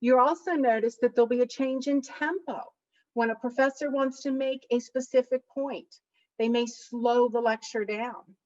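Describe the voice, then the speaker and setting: A woman's voice: on the high side, steady tone, moderate pace, darkish tone, distant mic, dull audio. A middle-aged woman, a college instructor, giving a study-skills presentation with an emphatic, big voice that leans hard on key points. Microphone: computer mic for online session.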